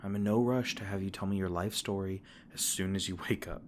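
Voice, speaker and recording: A man voicing a gentle, protective male fae king in an ASMR comfort roleplay, soft-spoken and tender, stumbling over his words now and then. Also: low-pitched, fairly even intonation, a little fast, a little hiss or hum, lightly acted. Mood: tender, soothing.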